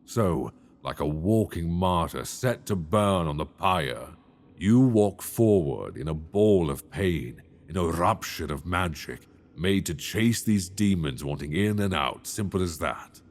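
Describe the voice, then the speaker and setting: A man voicing a towering, ancient, possessive male dragon in a binaural ASMR roleplay, talking slowly and intimately, coaxing with an edge of menace. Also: deep voice, lively intonation. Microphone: binaural mic.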